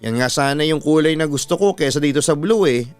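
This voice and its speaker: A man's voice: a little high, fairly quick, clear, resonant tone, no room sound, lightly acted. A man with a Filipino accent voicing a young elder sister, dutiful and a little resentful, in a dramatised story. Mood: sulky. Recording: music behind the voice.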